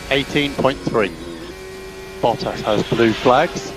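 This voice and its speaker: Man, race engineer, team radio, clipped repeated instructions, medium pitch, clear music in background. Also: slow, rough recording.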